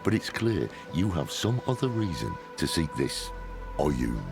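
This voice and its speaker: An actor playing a gruff, cynical old demon hunter with a blunt, weary manner in a video game. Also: male voice, very animated, unhurried, full character voice. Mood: sardonic, stern. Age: older. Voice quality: deep, gravelly. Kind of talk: dramatic video game acting. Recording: dramatic music under the voice.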